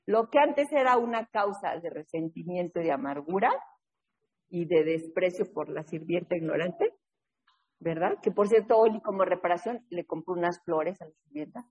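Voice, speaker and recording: A woman from New England leading an online recovery workshop, telling stories in a lively, casual way and often checking in with her listeners over a video call. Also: lowish voice, moderate pace, breathy edge, lo-fi sound. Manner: lively, keeps checking in with a tag question. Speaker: recovery workshop leader and sponsor. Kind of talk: casual storytelling talk in an online support meeting.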